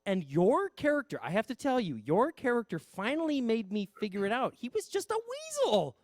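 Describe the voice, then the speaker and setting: A man interviewing in a casual, playful and expressive chat. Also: extremely high pitch, moderate pace, dry recording, studio quality. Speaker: interviewer, theater director.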